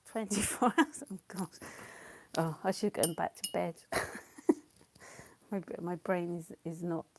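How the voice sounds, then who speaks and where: A woman's voice: lowish voice, slow, pauses often, a little hiss or hum. A woman chatting casually as she paints on a livestream, her voice partly lost and coughing, laughing often and answering comments. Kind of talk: casual chat while painting.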